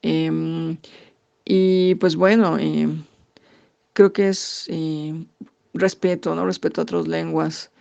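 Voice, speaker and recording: A Mexican woman answers interview questions slowly and thoughtfully, pausing on fillers as she works out each point. Her voice carries a gravelly edge, and the recording sounds dull and lo-fi. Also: sits low, fairly even intonation, a little mellow. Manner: thoughtful, halting with frequent fillers. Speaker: interviewee, English teacher.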